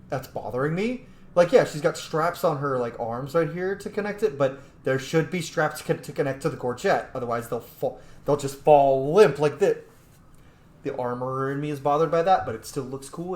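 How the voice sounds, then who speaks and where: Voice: medium pitch, a little mellow. Rambling gamer in an echoey room. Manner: rambling, opinionated, geeky tangents. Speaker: gaming video host. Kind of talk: casual let's-play commentary. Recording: roomy echo, faint background noise.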